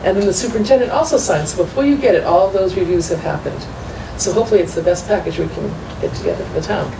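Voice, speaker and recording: A woman's voice: medium pitch, fast, creaky. A woman presenting a financial report at a school committee meeting, walking the members through documents step by step. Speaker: school business manager. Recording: echoey far-off room mic with noise.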